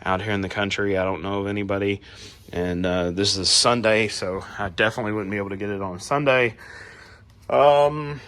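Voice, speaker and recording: A man hosting a casual garage how-to vlog while working on a car, his voice a little raspy as he gets over a cold, talking in a laid-back, rambling way with plenty of fillers. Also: fairly low pitch, steady tone, brisk, fairly bright tone. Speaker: garage channel host.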